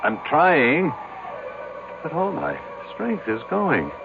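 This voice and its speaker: Desperate money-obsessed businessman; slow, halting, repetitive, huge pitch swings, old radio play. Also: huge pitch swings, vocal fry, full character voice. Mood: weak, despairing. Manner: stammering, repeating, pleading. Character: panicking ruined businessman, greedy, paranoid, fading in strength. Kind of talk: 1980 radio drama acting. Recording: dull old radio broadcast with music.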